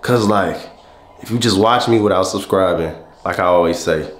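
A man's voice: sits low, normal speed, echoey room. Congested young man vlogging to camera. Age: young adult. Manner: laid-back slangy chatter, hyped greetings. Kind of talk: casual vlog to camera. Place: small room indoors, then a big electronics store. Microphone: handheld camera at arm's length.

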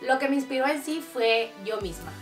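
An eighteen-year-old woman from Cuba answering interview questions in a relaxed, earnest way. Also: sits high, moderate pace, music in the background. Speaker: guest YouTuber. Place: small room at a home, plain backdrop.

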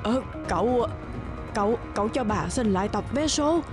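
A woman voicing a poor, meek old lottery seller in an animated drama, halting, apologetic and pleading. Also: on the high side, lightly acted. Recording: studio voice with clear music behind.

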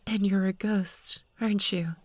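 A woman voicing a wounded young female marine in an anime fan dub, weak, soft and halting, often slipping into a whisper. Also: medium pitch, fairly even intonation, dry recording, close to an everyday voice. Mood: reproachful, pleading. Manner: soft, breathy, halting delivery with whispered phrases. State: weak from injury.

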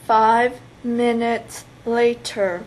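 A woman's voice: fairly high pitch, level tone, drawn-out words, a little mellow, a little hiss or hum. A young teenage girl narrating scripted scene announcements. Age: young teen.

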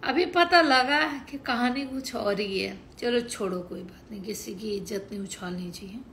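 A hoarse woman from Haryana ranting crudely and combatively on a late-night live stream from home, swearing heavily into her phone. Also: on the high side, moderate pace, a bit bright, a little hiss or hum. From Haryana, India.

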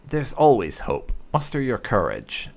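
A man with a gravelly voice giving casual playthrough commentary with some light roleplay. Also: mid-range voice, wildly swooping pitch, a little slow, darkish tone, a little hiss or hum. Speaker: gamer playing and commentating.

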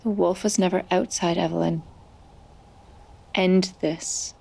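An actress playing a cool, steely, composed sister in a period audio drama. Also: female voice, lowish voice, steady tone, takes it slowly, gravelly, dark tone, husky, exaggerated voice. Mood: grimly resolute. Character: cool, steely, protective sister in 1800s north.

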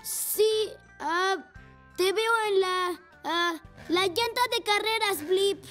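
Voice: very high-pitched, slow pace, a bit bright, faint music behind, heavily acted. An actor voicing an eager, earnest young boy motorbike in a children's cartoon, proud but a little insecure. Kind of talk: animated children's cartoon dub.

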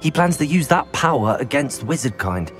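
A man's voice: medium pitch, very animated, moderate pace, fairly bright tone, faint music behind. An actor playing an earnest young wizard student who reports urgent news to his elders.

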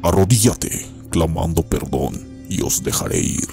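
A man's voice: low voice, expressive, measured pace, gravelly, mellow, soft background music, full character voice. A man playing a haughty, menacing older marquis in a historical audio drama, with formal, condescending old-fashioned speech. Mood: scornful, threatening.

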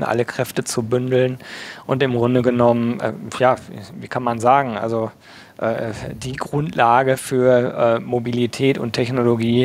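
A man's voice: medium pitch, slight background noise. A man hosting a podcast interview, talking casually with many hesitations. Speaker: podcast host.

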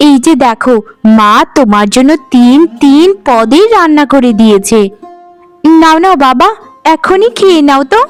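A woman voicing a cheeky, affectionate young village girl in a cartoon, chirpy and teasing, with a high voice. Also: expressive, normal speed, faint music behind. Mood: cheerful. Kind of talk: cartoon voice acting.

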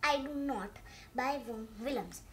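A six-year-old girl from India, reading a picture book aloud in a halting early-reader way as the host. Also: high voice, noisy background. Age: child, six years old. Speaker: storybook reader, channel host.

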